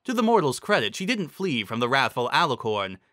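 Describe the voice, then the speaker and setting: Bright-voiced, animated man narrating fantasy. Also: medium pitch, a little fast, no echo, clean studio sound. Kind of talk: dramatic reading of fantasy fiction.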